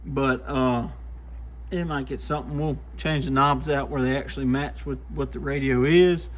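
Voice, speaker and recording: A man's voice: medium pitch, fairly even intonation, moderate pace, muffled recording. A man giving casual hands-on testing commentary as a host, plainspoken and full of folksy slang. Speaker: host, CB radio shop technician testing used radios.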